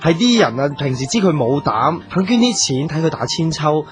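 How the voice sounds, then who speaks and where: A man's voice: a little high, sing-song, moderate pace. A man playing a brusque, exasperated show producer in a Hong Kong Cantonese radio drama, blunt and grudging. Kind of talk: festive radio drama acting. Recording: music under the voice.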